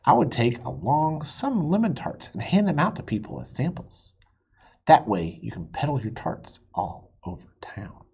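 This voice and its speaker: A man's voice: on the high side, big pitch swings, moderate pace, creaky, a little mellow, slightly rough, highs cut off, heavily acted. A man reading the part of a practical, jokey father in a children's storybook, confident and persuasive. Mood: teasing advice.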